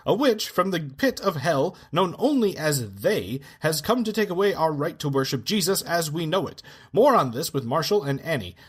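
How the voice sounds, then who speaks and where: A man's voice: a little high, big pitch swings, moderate pace, husky. A man playing a pompous, alarmist news anchor with a sensational, dramatic delivery in a parody radio drama broadcast. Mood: alarmed, grave.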